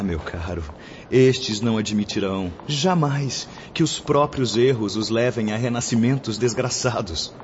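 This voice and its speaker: An actor playing a charismatic, solemn spiritual teacher in a radio drama, preaching formally and eloquently with a Brazilian accent. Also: male voice, mid-range voice. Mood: stern, impassioned. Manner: preachy, formal, oratorical delivery. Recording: dull lo-fi audio with background noise.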